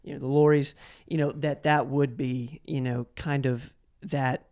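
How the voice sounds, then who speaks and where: A man's voice: mid-range voice, level tone, unhurried, creaky, a little mellow. A man hosting a casual conversational interview, enthusiastic and rambling, often repeating his words. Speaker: podcast host and interviewer.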